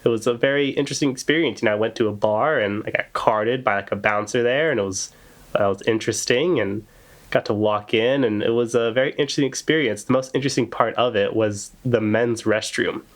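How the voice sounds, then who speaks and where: A young man hosts a laid-back podcast while he gets over a cold. He rambles through his stories with a dry, ironic humor. His voice sits in an ordinary middle pitch. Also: fairly quick. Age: young adult. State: recovering from a cold. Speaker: podcast host. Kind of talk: casual chat.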